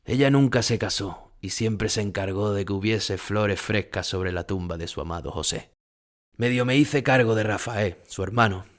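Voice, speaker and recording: An actor playing an aging, haunted Spanish bullfighter who recalls his past gravely in a dramatic audio monologue. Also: fairly low pitch, moderate pace, lightly acted. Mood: wistful.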